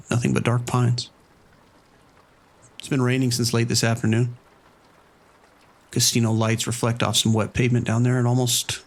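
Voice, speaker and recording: A man's voice: mid-range voice, vocal fry. A man playing a matter-of-fact, weary casino operations manager in an understated audio drama monologue.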